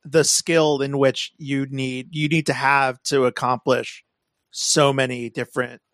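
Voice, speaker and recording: Halting man in a dry, close recording. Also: medium pitch, level tone, fairly bright tone, dry recording. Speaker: podcast co-host. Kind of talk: casual film discussion.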